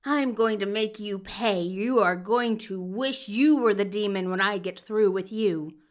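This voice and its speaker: A woman's voice: medium pitch, measured pace, close to an everyday voice. A woman voicing a menacing, contemptuous male villain doctor with a dangerous, commanding manner in an audio drama. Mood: furious. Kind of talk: audio fiction acting.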